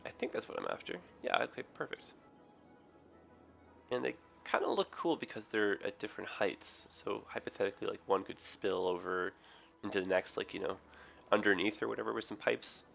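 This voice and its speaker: A man with a raspy, hoarse, tired voice, rambling through casual game commentary with many ums and self-corrections. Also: on the low side, fairly quick, fairly bright tone, faint music behind. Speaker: gaming video host. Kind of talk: casual let's play commentary.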